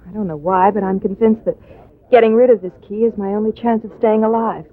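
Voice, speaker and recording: A woman's voice: mid-range voice, fairly even intonation, fairly quick, close to an everyday voice. A woman acting an alluring, scheming femme fatale client in a 1950s radio drama, with a theatrical manner. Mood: confiding, afraid. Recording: muffled, hissy vintage radio recording.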